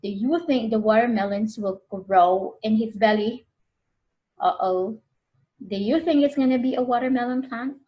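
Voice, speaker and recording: A woman's voice: fairly high pitch, moderate pace, lo-fi sound. A woman reading a picture book to young children as a preschool storyteller, expressively, prompting them with questions.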